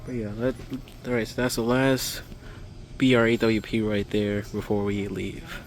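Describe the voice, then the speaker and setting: A man's voice: on the low side, little pitch movement, normal speed. A man giving casual, unscripted tour commentary as a vlogger, with observational, technical asides. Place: inside retail stores, later outside. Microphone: handheld camera. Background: faint store music.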